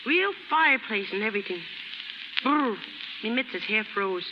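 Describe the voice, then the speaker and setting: A woman's voice: on the high side, lively intonation, unhurried. An actress playing a scruffy, wary young slum girl with slangy American street speech in a 1930s radio drama. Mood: tired, plain-spoken. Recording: noisy, reverberant 1930s radio recording.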